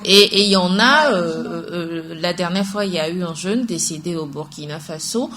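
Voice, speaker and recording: A woman co-hosting a radio talk show discussion, often backing the other speaker and filling pauses with hesitant fillers. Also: fairly low pitch, background noise.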